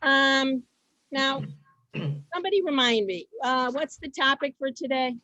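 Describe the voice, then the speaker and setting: A woman hosting an online event over a video call, chatting informally and haltingly, often repeating her phrases. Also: high voice, bright, forceful tone, dry recording, muffled recording. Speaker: host of an online reader's theater event.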